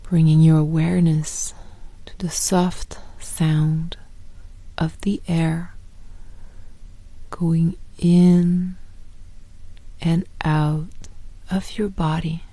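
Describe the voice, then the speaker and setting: Woman meditation guide, half-whispered delivery, very slow and flat, dry close sound. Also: deep voice, no room sound, poor audio. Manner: soft, slow, partly whispered.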